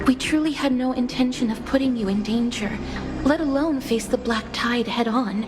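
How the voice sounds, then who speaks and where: An actress playing a polite, earnest young woman in a fantasy game, speaking softly in formal, measured phrases. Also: on the high side, close to an everyday voice. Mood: earnest, grave. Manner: formal, courteous, measured speech. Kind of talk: scripted video game acting. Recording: game music playing behind. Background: game music.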